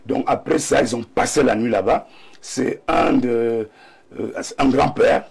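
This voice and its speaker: A man's voice: medium pitch, measured pace, gravelly, darkish tone, breathy edge. A man with a Cameroonian accent and a slight cold gives emphatic debate commentary as a panelist, often repeating key words for weight. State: slight cold, throat clearing.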